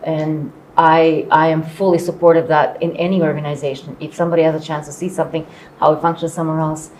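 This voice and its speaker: Low-voiced middle-aged woman, reflective. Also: level tone, fast talker, a little mellow, slight background noise, low-quality recording. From born in Croatia, later lived in Canada. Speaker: former company executive, interviewee. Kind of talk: reflective conversation in a panel interview.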